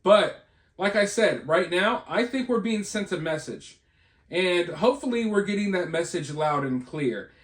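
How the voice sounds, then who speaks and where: An American man hosts informal news commentary in a notably high-pitched voice. He speaks conversationally and rhetorically, checking in with listeners as he speculates. The recording is clearly roomy, with echo around his words. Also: lively intonation, measured pace. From United States. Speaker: online video commentator and channel host.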